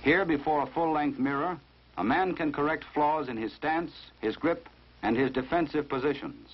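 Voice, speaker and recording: An American man reading scripted narration for a 1950s instructional film in a formal, measured, authoritative manner. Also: on the high side, a little hiss or hum. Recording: dull, muffled vintage 1950s film soundtrack.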